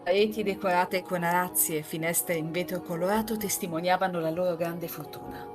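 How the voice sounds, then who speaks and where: A woman's voice: on the low side, fairly even intonation, brisk. A woman in an amateur live reading group, moving between casual chat and scripted narration. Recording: music playing behind the voice.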